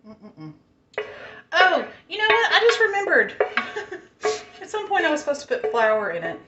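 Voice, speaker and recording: A woman's voice: very high voice, wildly swooping pitch, normal speed, sharp tone, roomy sound, highs cut off. A woman with a Southern American accent, chatting casually and thinking aloud as she cooks in her home kitchen. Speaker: home cook. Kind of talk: casual cooking vlog chat.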